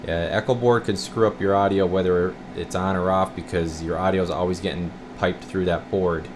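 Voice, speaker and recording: A man's voice: low voice, normal speed. A man speaking as a radio repair technician, giving a casual, folksy hands-on walkthrough to camera in an informal way. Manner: folksy, informal, addressing viewers as guys. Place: indoor radio repair workshop. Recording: clear steady room noise.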